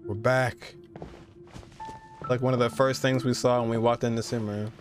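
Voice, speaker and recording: A man's voice: medium pitch, level tone, moderate pace. A young man in his twenties casually commenting as he reacts to a game, informal and emotionally invested. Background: game music.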